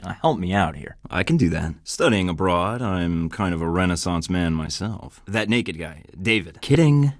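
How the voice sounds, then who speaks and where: A man voicing a deep-voiced, cocky American spy. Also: dry recording, clean studio sound, natural voice. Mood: threatening, then flirty. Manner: wisecracking, flirty and menacing by turns. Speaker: game protagonist. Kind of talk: video game voice acting.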